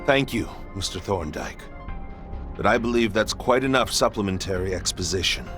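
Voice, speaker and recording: An actor playing a composed, resolute head of state in a video game, speaking formally and with authority. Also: male voice, on the low side, lively intonation, normal speed, over music, lightly acted. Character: resolute, composed male president of a republic. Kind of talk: video game cutscene voice acting.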